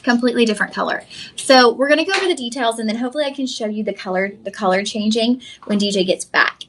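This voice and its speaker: Young woman, shopping host, bubbly sales patter, slightly high voice. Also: lively intonation, quick pace, a bit bright, slight background noise. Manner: chatty, effusive, addresses viewers as y'all. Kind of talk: live-stream sales pitch.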